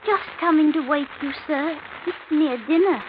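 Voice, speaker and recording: A woman's voice: sits high, normal speed, natural voice. A woman playing a strange, timid, nervous housemaid in an old radio drama. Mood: flustered, shy. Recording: tinny, noisy old radio recording.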